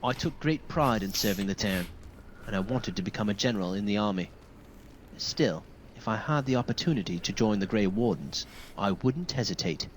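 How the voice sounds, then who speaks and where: A man's voice: lowish voice, expressive, moderate pace, lightly acted, rough recording. Guy playing a polite earnest young knight in a fantasy game, formal talk, some noise behind. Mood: courteous, earnest. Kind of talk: video game dialogue acting.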